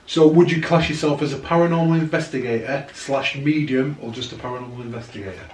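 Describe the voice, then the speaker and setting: A man's voice: medium pitch, fast talker, a little hiss or hum. A man, hosting a casual interview and leading with encouraging questions from a living room sofa. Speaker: interviewer, paranormal channel host. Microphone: far camera. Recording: echoey room from a distance.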